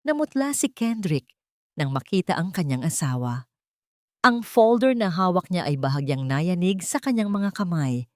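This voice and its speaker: A woman's voice: on the low side, very animated, moderate pace, no room sound, clean studio sound. A woman narrating a scripted love story in a solemn, dramatic manner.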